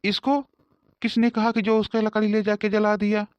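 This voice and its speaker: Guy doing a bossy cartoon village cop, bit high pitched, blustery and complaining, muffled lo-fi audio. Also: flat delivery, moderate pace, clear, resonant tone, no echo, close to an everyday voice. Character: blustering, easily cowed male village police officer. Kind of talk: comic cartoon dialogue.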